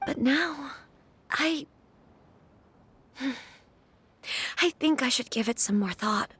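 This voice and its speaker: A woman's voice: sits high, animated, takes it slowly, fairly bright tone, a little hiss or hum, heavily acted. An actress voicing a troubled, polite young noblewoman in a video game, speaking earnestly and hesitantly. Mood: hesitant, resolving. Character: troubled young noblewoman, polite and conflicted about her wedding. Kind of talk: video game voice acting, scripted dialogue.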